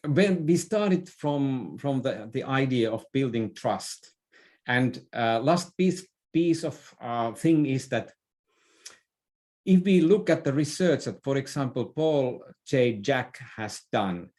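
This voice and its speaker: A middle-aged man speaks as an interview guest. He offers advice in a conversational way, hesitating and doubling back over words as he builds each thought. His pitch sits in an ordinary middle range for a man. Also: expressive, slow pace, a little mellow. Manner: hesitant, repeating words as he goes. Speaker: podcast guest, business expert.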